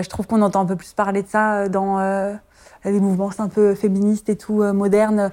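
Soft-toned midwife, hesitant. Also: female voice, medium pitch. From France. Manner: hesitant, many fillers, laughs easily. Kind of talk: personal interview testimony.

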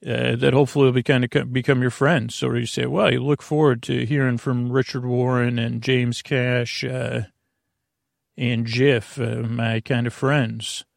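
A man with a mid-pitched, creaky voice hosts a bedtime podcast. He rambles and mumbles through meandering digressions, often halting to restart a thought. The sound is very dry, with no room around the voice. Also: little pitch movement, dry recording. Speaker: podcast host and bedtime storyteller. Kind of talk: rambling sleep podcast monologue.

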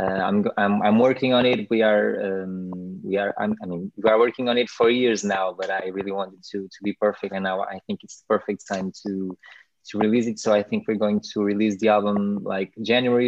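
A man from Portugal, a Portuguese native speaker, talking as a singer guest in a halting way that repeats words. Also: fairly low pitch, lo-fi sound.

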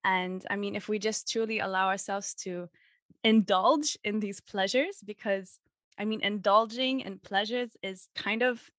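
A woman's voice: mid-range voice, steady tone, measured pace, fairly bright tone, no room sound, studio quality. A young woman hosting a spiritual podcast, talking in an effusive, heartfelt, chatty way. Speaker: podcast host. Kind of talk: casual podcast interview.

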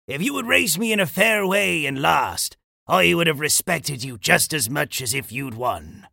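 Gravelly old pirate captain. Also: male voice, a little high, animated, a little slow, pushed and bright, no room sound, studio quality, heavily acted. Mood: stern, forgiving. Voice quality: gravelly, creaky. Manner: emphatic, declamatory, moralising. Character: Old Jim, honourable old pirate captain, stern, fair. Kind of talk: children's storybook character voice.

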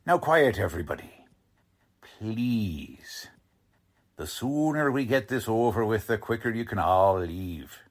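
An actor playing a gruff, bossy police lieutenant with tough-guy slang and brusque wisecracks, in 1940s radio drama style. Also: fairly low pitch, expressive, measured pace. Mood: commanding. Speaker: police detective character.

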